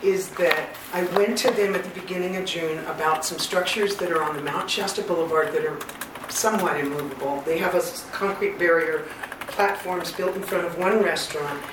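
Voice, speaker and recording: A woman with a loud voice giving a public comment at a meeting, earnest, detailed and persistent. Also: on the low side, steady tone, normal speed. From Northern California. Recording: echoey hall, far from mic, noisy.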